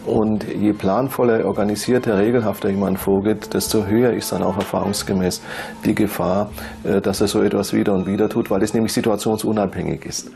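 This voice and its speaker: Gravelly, low-voiced male expert. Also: flat delivery, mellow, soft background music. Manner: halting, analytical, searching for words. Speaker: expert commentator. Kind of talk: unscripted interview commentary.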